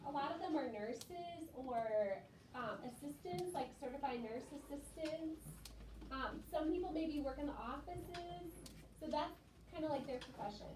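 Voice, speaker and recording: Woman teaching little kids, high voice, far from the mic, explains stuff simply. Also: a little mellow. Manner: explains simply, repeating and rephrasing for children. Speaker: elementary school teacher. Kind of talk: classroom lesson talk with young children. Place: classroom, away from the mic. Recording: echoey classroom from far off, clearly noisy.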